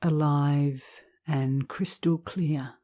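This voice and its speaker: Very slow, low-voiced woman guiding meditation. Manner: calm, measured, short phrases with long pauses. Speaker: meditation guide. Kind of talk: slow guided meditation reading.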